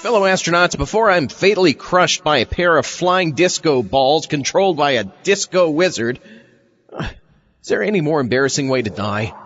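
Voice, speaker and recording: A man's voice: fairly high pitch, animated, normal speed, fairly bright tone. A man playing a wry, exasperated zombie astronaut leader in a comedic audio drama. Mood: deadpan. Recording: dull lo-fi, music underneath.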